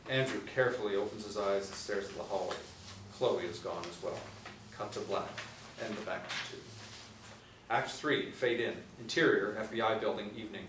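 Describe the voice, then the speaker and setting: Low-voiced middle-aged man narrating in roomy space. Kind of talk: scripted narration of screenplay action. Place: small indoor room, seated at table read. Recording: roomy, distant mic, noisy ambience.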